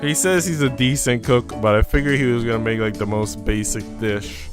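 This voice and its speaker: A man's voice: mid-range voice, brisk, over music. A young man, rambling informally as he livestreams a visual novel, switching between slangy chat and reading aloud.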